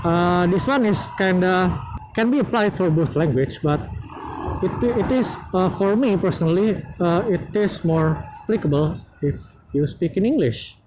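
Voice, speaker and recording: Young man, Indonesian accent, high voice, rambling casual advice, vehicle noise behind. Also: animated. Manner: rambling, halting, many fillers and restarts. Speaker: motovlogger. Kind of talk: casual advice vlog while riding. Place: outdoors on a motorbike in traffic. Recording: vehicle noise on helmet mic. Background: road and engine noise.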